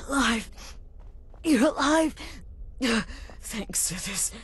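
A dying female assassin leader in a fantasy game, remorseful and weak. Her voice is extremely husky and breathy, slow and gasping, with pitch that wavers widely. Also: expressive, heavily acted. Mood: anguished, remorseful. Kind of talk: video game voice acting.

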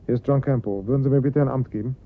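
A man's voice: rapid-fire, natural voice. An actor playing an alarmed husband and father in a German radio crime drama, questioning and insistent. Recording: narrow muffled band, rumbling noise.

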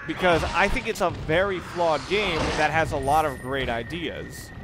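A man giving casual let's-play commentary as he plays, rambling and halting, often repeating and rephrasing himself. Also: a little high. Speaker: gaming video host, player. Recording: clear background noise under voice.

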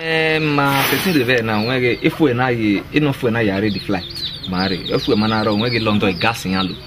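A boastful young man , played by an actor, brags on the phone in a rural village film. His voice is mid-pitched and blustering. Roosters and yard noise sit clearly behind him. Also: a little fast, close to an everyday voice. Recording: outdoor recording with noticeable background noise.

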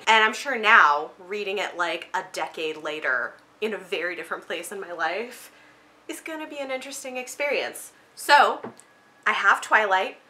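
A woman's voice: medium pitch, bright, forceful tone. A woman in her thirties chatting casually and emphatically to camera as a book vlogger in a room at home. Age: 30s. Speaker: book vlogger, channel host. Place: small home room with bookshelves, indoors.

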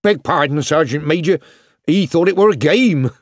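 A man's voice: a little high, lively intonation, normal speed, no echo, studio quality, voice pushed up, lightly acted. Man voicing a blunt, grumbling British private. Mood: urgent, apologetic. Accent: British English. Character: grumbling, anxious working-class army private, dog handler. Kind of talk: storybook character voice.

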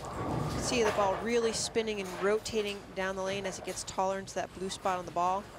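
A woman at mid pitch gives instructive color commentary, walking through each bowler's technique. She talks steadily and methodically. Loud noise fills the background. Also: flat delivery, a little fast. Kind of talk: analytical sports commentary. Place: commentary booth at a bowling arena.

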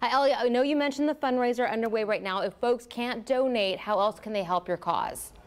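Woman anchor in the studio, talks quick, smooth clear voice, encouraging. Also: on the high side. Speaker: news anchor. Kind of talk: studio news interview. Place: TV news studio.

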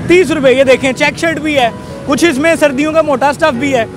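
A young man, presenting a street market to camera in an energetic, repetitive salesman's way. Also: very high-pitched, brisk, music in the background. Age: 20s. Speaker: street vlogger and host. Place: outdoor roadside market. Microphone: handheld recorder held at chest. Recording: noisy busy street with traffic and crowd. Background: crowd and passing vehicles.

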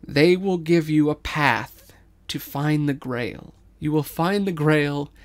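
A clamoring commoner from a space station, acted out by a male reader. The voice is high and loud, proclaiming like a worshipful villager in an audio story. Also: slow. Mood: pleading, reverent.

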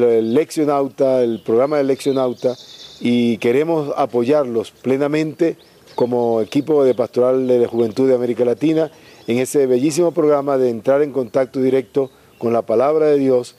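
An older man, a bishop giving a formal greeting in a measured, deliberate way outdoors. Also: mid-range voice, dark tone. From Venezuela. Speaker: bishop, youth ministry leader. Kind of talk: formal group greeting to camera. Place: outdoors in a garden courtyard.

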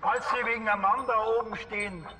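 Deadpan Bavarian cop for TV crime comedy male actor, slightly high voice, laconic, colloquial. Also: fast talker, in a large hall, over music, close to an everyday voice, poor audio. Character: dry, laconic, unflappable Bavarian policeman.

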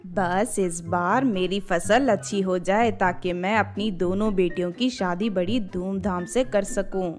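A woman's voice: mid-range voice, measured pace, few pauses, darkish tone, soft background music, voice pushed down, close to an everyday voice. A woman voicing a poor widowed village mother in a cartoon tale, plaintive, anxious and pleading. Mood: hopeful worry. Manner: plaintive, worried.

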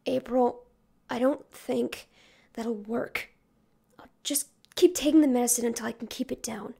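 A woman voicing a sick, stubborn, brainy teenage boy mutant turtle, weary and halting, in an amateur comic dub. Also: on the high side, vocal fry, warm tone, breathy edge, pitched below the performer's own voice, exaggerated voice. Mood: weary, sickly.

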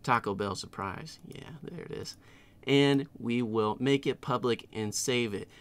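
A middle-aged man hosting a casual screen-share software tutorial in a tongue-in-cheek, playfully exaggerated way. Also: mid-range voice, measured pace, smooth. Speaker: tutorial host.

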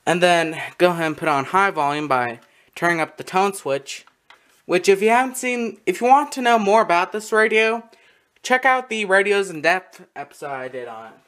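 A person giving a casual, halting, matter-of-fact show-and-tell narration, recorded on a handheld camera in a room at home. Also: medium pitch, lively intonation, a bit bright. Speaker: video creator showing a radio collection. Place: small room at home, indoors. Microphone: handheld camera close by.

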